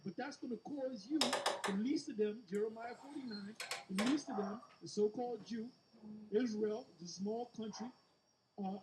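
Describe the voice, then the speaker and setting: A man teaching scripture in an informal sermon, halting and repeating words as he explains in blunt street-preacher phrasing. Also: high voice, background noise. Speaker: religious teacher leading a scripture discussion. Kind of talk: informal sermon with verse-by-verse commentary.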